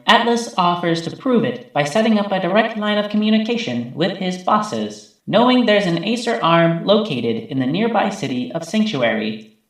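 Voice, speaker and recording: A man voicing a computerized memory archive, formally and dramatically narrating a recap through a heavy echo effect. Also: high voice. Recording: heavily echoing processed voice.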